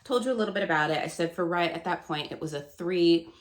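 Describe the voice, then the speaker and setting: A woman in her thirties chatting casually to camera as a book vlogger, her voice still gravelly and nasal from a cold, rambling and laughing often. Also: fairly low pitch, fast talker. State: hoarse and stuffy from a cold.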